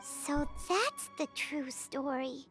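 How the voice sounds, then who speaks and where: A woman voicing a tiny, cheeky but earnest childlike fairy companion in a video game, with an expressive, cutesy delivery. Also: high voice, takes it slowly, soft background music, heavily acted. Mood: pensive.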